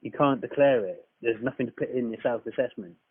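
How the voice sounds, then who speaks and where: A man with a mid-pitched voice argues as a caller with a complaint. He is insistent and blunt, repeating his points. The recording is dry and dull, like a lo-fi phone call. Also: brisk, breathy edge, dry recording. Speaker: caller disputing a tax account.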